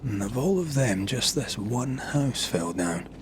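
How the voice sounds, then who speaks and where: An actor playing a gruff, wry ghost hunter in a video game, speaking in a dry, low-key way. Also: male voice, animated, a little slow, noisy background, natural voice. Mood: pensive. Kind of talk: understated video game dialogue.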